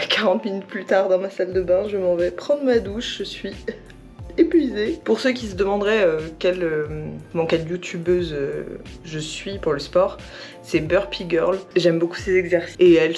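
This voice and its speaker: Hoarse young woman chatting to camera. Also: low-pitched, a little mellow. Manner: chatty, many fillers, laughs between phrases. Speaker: vlogger. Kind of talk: casual daily vlog chat to camera. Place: at home.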